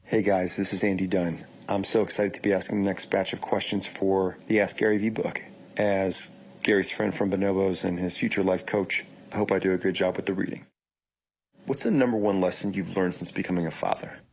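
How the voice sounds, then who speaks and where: A man's voice: deep voice, steady tone, brisk, husky, low-quality recording. A man reading questions aloud as a guest, in a friendly style.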